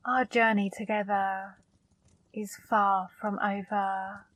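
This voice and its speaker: Casting: woman voicing a seductive female demon for ASMR, slow and level delivery, dry close sound. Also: medium pitch, flat delivery, no room sound, rough recording. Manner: slow, soft, commanding. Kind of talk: ASMR roleplay.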